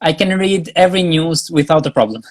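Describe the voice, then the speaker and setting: A young Albanian man speaking English with an Albanian accent as a guest in a casual interview, pausing often with fillers and restarts. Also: on the high side, expressive, no room sound. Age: young adult. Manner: halting, many fillers and restarts. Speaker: guest, graduate student.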